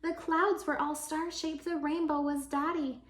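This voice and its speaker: A woman with a high voice narrates a children's story in rhyme. She reads expressively, like a teacher addressing young listeners. The recording has a clear room echo. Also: level tone, a little slow. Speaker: teacher reading aloud to children. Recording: echoey, roomy sound.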